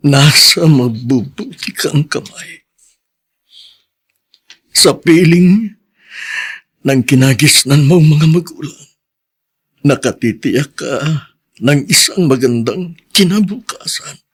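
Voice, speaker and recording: An actor playing a grave, remorseful ex-convict father in a Filipino radio drama, speaking slowly and solemnly in a husky voice. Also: fairly high pitch, lively intonation, exaggerated voice. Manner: slow, solemn, pleading.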